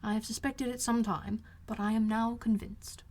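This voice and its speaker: A woman reader plays a middle-aged gentleman father from a classic novel. He speaks coolly and deliberately, with deadpan, sardonic dryness. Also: a little high, lightly acted. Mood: dry disdain. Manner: deadpan, measured.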